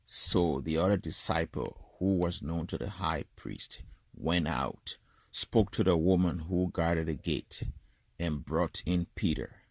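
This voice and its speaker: A man with an extremely low voice reads scripture from the text. His pace is slow and measured, and the sound around him is dry. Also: a little mellow, no room sound. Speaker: scripture reader. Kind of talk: scripted Bible reading.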